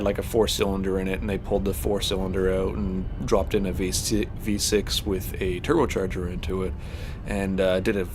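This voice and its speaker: A fairly low-voiced man chats loosely over a game session, his speech full of "like" and "um" as he wanders between thoughts. His voice sounds a little stuffed up, and soft music plays underneath. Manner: rambling, heavy on fillers and restarts. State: slightly stuffed-up voice while recovering from illness. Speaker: gaming channel host. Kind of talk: casual chat while playing a space game.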